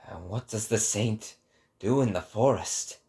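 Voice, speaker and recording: A man's voice: on the low side, a little slow, warm tone, lightly acted. A man voicing a proud, solemn sage with grave, declarative delivery in a dramatic book reading. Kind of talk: dramatic audiobook character reading.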